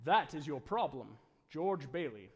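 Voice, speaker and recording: Man as a measured head angel in a hall. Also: a little high, very animated, husky, full character voice. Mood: calm, authoritative. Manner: measured, patient, senior. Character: calm authoritative male superintendent of angels. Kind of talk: radio play acting. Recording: echoey live hall taping.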